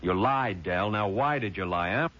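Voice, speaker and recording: An actor playing a shrewd, protective lawyer in 1970s radio drama, speaking in a deliberate, hard-boiled, probing way. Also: male voice, fairly low pitch, lively intonation, a bit bright. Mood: cool, pressing. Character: shrewd, cool-headed male lawyer, protective and persistent. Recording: dull, noisy vintage radio recording.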